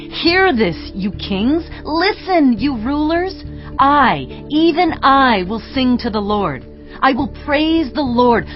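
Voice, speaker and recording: A woman's voice: fairly high pitch, highs cut off. An actress playing an authoritative, solemn female prophet and leader, declaiming in a dramatized audio Bible. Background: music.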